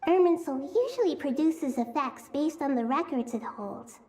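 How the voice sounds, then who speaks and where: A woman voicing a small, gentle, wise childlike goddess in a video game, polite and formal in her phrasing. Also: sits high, lively intonation, moderate pace. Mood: thoughtful, concerned. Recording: game music behind.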